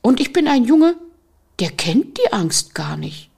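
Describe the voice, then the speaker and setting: A woman's voice: on the high side, big pitch swings, measured pace, creaky, a little mellow, breathy edge, heavily acted. A woman voicing a cheerful, fearless little boy who talks in an eager, chatty way.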